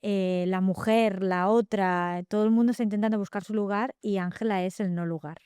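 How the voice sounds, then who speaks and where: A middle-aged woman with a Catalan accent, a novelist talking reflectively in an interview, often pausing with fillers and tag questions. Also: mid-range voice, steady tone, mellow, smooth, no echo, clean studio sound. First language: Spanish. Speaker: guest novelist. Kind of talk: thoughtful interview talk.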